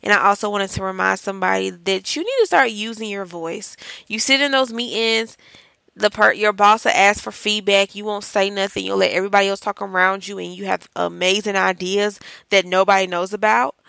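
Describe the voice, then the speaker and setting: Woman podcast host, bright, gravelly voice, chatty and encouraging, dry close mic. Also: mid-range voice, fairly quick, dry recording. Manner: chatty and encouraging, addresses listeners as y'all and child. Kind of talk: casual faith-based podcast talk.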